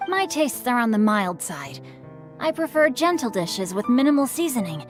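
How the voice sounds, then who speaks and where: A man's voice: very high voice, very animated, normal speed. A man voicing a refined, bookish young nobleman who talks with courtly eloquence in a game cutscene. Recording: game cutscene with faint music.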